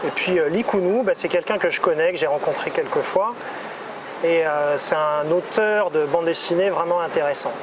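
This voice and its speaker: A French man in his forties, an interview guest talking casually on a city street, relaxed and hesitant, with many pauses. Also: fairly high pitch. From France. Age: 40s. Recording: street noise behind.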